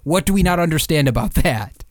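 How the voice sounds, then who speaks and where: A man's voice: fairly high pitch, lively intonation, brisk, no room sound, clean studio sound. A man co-hosting a sports podcast in casual, slangy, emphatic talk with rhetorical questions.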